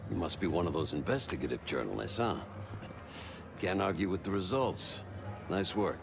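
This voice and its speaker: A smug fixer from the world of spies, with a very low, gravelly voice. He is dry, knowing and unhurried, like someone always holding the better cards in a game cutscene, with music playing behind him. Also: expressive. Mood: wry, businesslike. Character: smug, wry old-school spy fixer doing shady deals. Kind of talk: video game cutscene acting.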